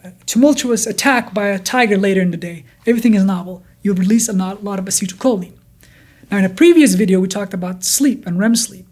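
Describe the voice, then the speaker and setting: A neuroscientist guest from Denmark, of Kurdish origin, with a blended accent in English, explaining at length and often repeating words and restarting. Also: male voice, high voice, a little mellow. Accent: blended Danish and Kurdish. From Denmark, Kurdish from Iraq. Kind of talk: explanatory interview talk.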